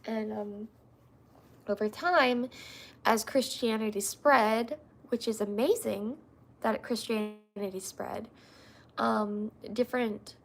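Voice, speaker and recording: A woman's voice: on the high side, steady tone, takes it slowly, creaky. A young woman who is a little congested, hosting a livestream and talking casually and earnestly about faith, answering viewers with frequent fillers and restarts. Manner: rambling, many restarts and fillers. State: congested from allergies.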